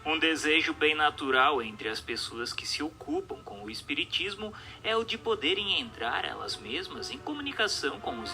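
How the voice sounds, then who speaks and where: A man reading scripted narration in a formal, measured, didactic way. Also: mid-range voice. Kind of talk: scripted audiobook-style narration. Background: music.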